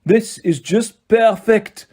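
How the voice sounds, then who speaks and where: A man's voice: high voice, normal speed, warm tone, pitched above the performer's own voice. A man voicing a pompous, menacing male manticore villain with a French accent in a storybook reading. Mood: gloating. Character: gloating villainous male manticore in military disguise.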